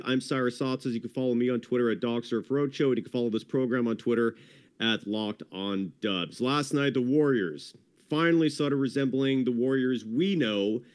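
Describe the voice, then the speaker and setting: A man's voice: medium pitch, clear, resonant tone. A man hosting a sports podcast in casual, upbeat chat, hyping his guest and calling him brother. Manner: hyped, chummy, calls guest brother. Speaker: podcast host.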